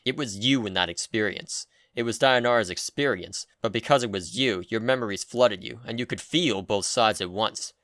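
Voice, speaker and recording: A man giving an intense, theatrical scripted narration of video game writing, with deliberate pauses. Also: on the low side, fairly bright tone, dry recording, studio quality.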